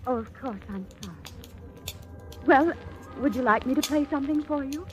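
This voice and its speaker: An actress playing a fragile, fiercely loyal young wife in an old radio drama, earnest and proper. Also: female voice, high-pitched, moderate pace, dull audio, lightly acted. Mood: anxiously polite. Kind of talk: 1950s radio drama acting. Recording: old radio recording, faint music behind.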